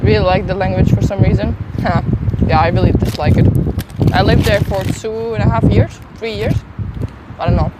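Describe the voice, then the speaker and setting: A woman with a faint Swedish accent chats to her viewers while out on a city street. She is casual and self-deprecating, speaking at a middle pitch into a phone held at arm's length, with clear street and traffic noise underneath. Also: expressive, normal speed. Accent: slight Swedish accent. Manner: chatty, self-deprecating, talks to chat while eating. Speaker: travel streamer. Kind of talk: casual live-stream chat.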